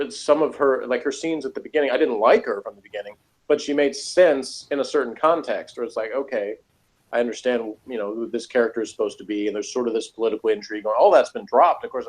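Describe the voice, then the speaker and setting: A man in a casual review chat, talking in a halting, rambling, opinionated and critical way. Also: mid-range voice, poor audio. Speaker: review show co-host.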